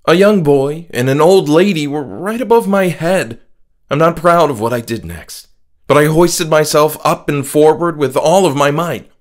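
A man's voice: a little high, moderate pace, close to an everyday voice. A man voicing a frightened, injured male friend who speaks in agitated, insistent protest in a horror audiobook. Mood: tense recounting. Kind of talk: horror audiobook character dialogue.

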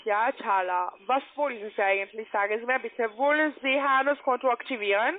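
A woman with a foreign accent, not a native speaker, making an insistent, repetitive phone sales pitch as an account adviser in broken grammar. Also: brisk. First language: not German. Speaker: call-centre account adviser. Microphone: telephone. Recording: tinny band-limited phone line.

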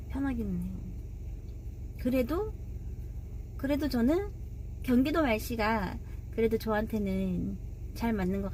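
A woman's voice: medium pitch, rough recording. A woman from Gyeonggi Province chatting casually with her live viewers while driving, laughing often. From Gyeonggi Province, South Korea. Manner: chatty, laughs often, talks back to chat comments. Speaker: live streamer. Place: inside a car. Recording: loud car noise. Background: loud road and engine noise.

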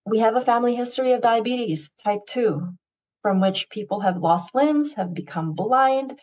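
A woman in her fifties, a retired nurse whose first language is Tagalog, tells her wellness story in an earnest, measured way on a video call. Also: on the high side, animated, darkish tone. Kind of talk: podcast guest story. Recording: muffled lo-fi sound.

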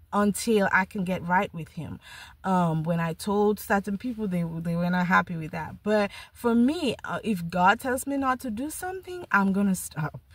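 A woman's voice: mid-range voice, a bit bright, no echo. A woman hosting a podcast, sharing a personal spiritual testimony in an earnest, reflective way, often repeating words as she starts a thought. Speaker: podcast host.

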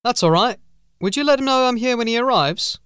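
A man's voice: very high-pitched, lively intonation, fairly quick, fairly bright tone, clear, resonant tone, dry recording, clean studio sound, voice pushed up. A man voicing a polite, informal traveller, a little tired and hesitant, in a gothic horror audiobook. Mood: polite, upbeat.

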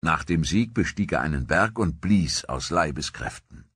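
Male documentary narrator, deep and husky, measured storyteller for scripted history. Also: expressive, a bit bright, dry recording.

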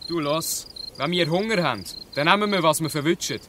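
A man's voice: a little high, expressive, fairly quick, pushed and bright, slight background noise. A man playing a curt, hostile gang boy in a Swiss German audio drama. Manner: curt, challenging. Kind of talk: dialect audio drama acting.

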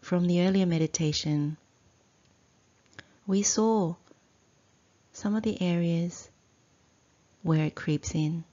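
A woman leads a guided meditation in a low, mellow voice. She speaks very slowly and deliberately, setting out short phrases with long pauses between them, as a teacher instructing students. The recording is slightly dull.

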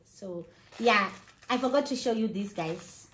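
A woman's voice: mid-range voice, unhurried, low-quality recording. A woman in her thirties with a South African accent, chatting casually and expressively as a vlogger and switching between languages. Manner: excited, expressive. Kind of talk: casual vlog chat mixing in another language. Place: living room and kitchen at home.

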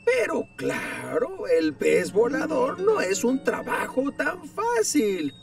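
An earnest, mild-mannered engine in a cartoon, a friendly male locomotive with a very high voice that rises and falls widely. He speaks slowly and gently. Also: huge pitch swings, creaky, distant mic, over music, full character voice.

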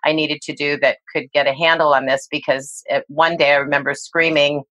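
An older woman, a guest expert, telling her story in a reflective, measured way during an interview. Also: low voice, flat delivery, a bit bright, dry recording, rough recording.

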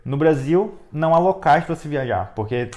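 A Brazilian man in his thirties explaining things casually to camera as a vlogger, in a measured, somewhat halting way. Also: on the high side, expressive, a crowd in the background. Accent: Brazilian Portuguese. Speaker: travel vlogger. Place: indoor living room, seated on sofa.

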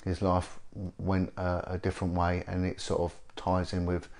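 A middle-aged man from with a slight cold, interviewing a guest in a living room, speaking haltingly with many fillers. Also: low-pitched, monotone, vocal fry, a little mellow. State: has a cold. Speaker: interviewer, former police detective. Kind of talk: sit-down interview. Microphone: lapel mic.

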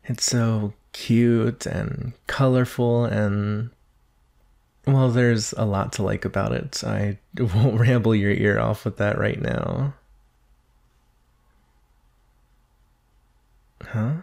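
A man's voice: on the low side, steady tone, takes it slowly, gravelly, a little mellow. A man playing a shy, earnest nineteen-year-old naga monster boy in a conversational ASMR roleplay, hesitant, nervous and apologetic. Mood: shy, friendly.

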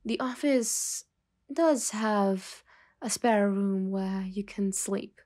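A stern female mafia boss , acted by a woman, with a condescending edge that softens. She talks slowly at a middle pitch, leaving pauses and often sinking toward a breathy near-whisper, in the intimate style of an ASMR roleplay. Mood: calm, reassuring.

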